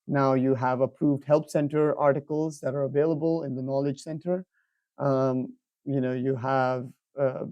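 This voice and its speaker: A man giving an informal product demo as a presenter, explaining things in a halting way with frequent filler pauses. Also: mid-range voice, dark tone, no room sound.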